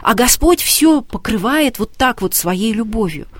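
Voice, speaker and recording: A woman's voice: on the high side, expressive, measured pace, no echo, studio quality. A woman who makes television documentaries, a guest on a talk show, telling a long story and acting out the dialogue she quotes.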